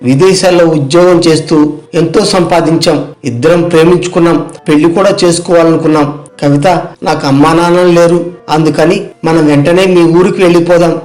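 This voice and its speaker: A man's voice: fairly high pitch, steady tone, a little fast, a little mellow, lightly acted. A man playing an earnest, idealistic young man who explains himself politely and sincerely in an audio story. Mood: earnest, persuading. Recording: music behind the voice.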